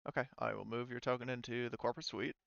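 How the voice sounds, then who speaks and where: A man running a tabletop game as game master, casual and halting as he thinks aloud. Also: medium pitch, steady tone, vocal fry, a bit bright, dry recording. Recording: dry, slightly dull home microphone.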